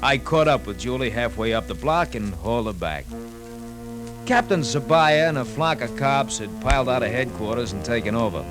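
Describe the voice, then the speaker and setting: An actor playing an earnest, hard-boiled American sidekick in a slangy 1950s radio drama narration. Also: male voice, medium pitch, expressive, normal speed, close to an everyday voice. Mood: recounting. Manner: colloquial, slangy. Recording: old radio recording, music underneath.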